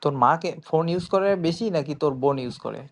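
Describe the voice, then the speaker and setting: A man's voice: fairly high pitch, expressive, fast, creaky. A young man in a casual phone chat, talking in a hesitant, rambling way. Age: young adult. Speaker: prank caller.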